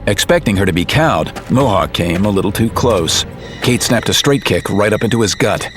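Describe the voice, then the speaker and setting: Deep-voiced male drama narrator. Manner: steady, measured storytelling. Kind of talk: scripted narration of an audio drama. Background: music.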